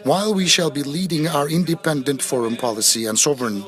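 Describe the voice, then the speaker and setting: A man's voice: a little high, fairly bright tone. Man interpreting at a press conference. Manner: measured, with frequent pauses and fillers. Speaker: interpreter voicing a president's answers. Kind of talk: simultaneous interpretation.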